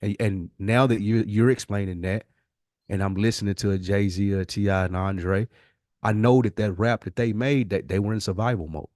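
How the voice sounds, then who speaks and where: American dude, lowish voice, dry close recording, slangy sweary podcast chat, makes his points hard. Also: normal speed, a little mellow, no echo. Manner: slangy, profane, emphatic. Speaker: podcast co-host. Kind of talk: casual conversation.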